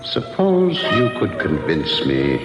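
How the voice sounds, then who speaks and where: An actor playing an ominous, mysterious man who probes and offers second chances in a deliberate, portentous radio drama style. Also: a bass voice, huge pitch swings, full character voice. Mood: ominous, probing. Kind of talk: 1970s radio drama acting. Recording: long hall reverb, music underneath.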